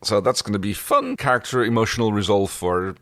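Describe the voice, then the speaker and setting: Low-voiced man in dry close sound. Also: wildly swooping pitch, brisk, fairly bright tone, no echo. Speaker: podcast co-host. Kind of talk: casual TV episode recap chat.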